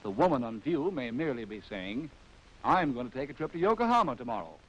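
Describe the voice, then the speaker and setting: A man's voice: medium pitch, big pitch swings, fast, muffled recording. An American man narrating a scripted 1940s training film in a wry, arch, theatrical way, on a vintage film soundtrack.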